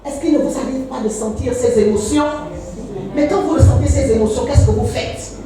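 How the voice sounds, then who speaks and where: A woman's voice: sits high, moderate pace, warm tone, soft background music. A woman in her fifties, a pastor preaching a fervent sermon with frequent hallelujahs and sing-song rises. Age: 50s. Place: church. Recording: echoey church hall, voice from far off.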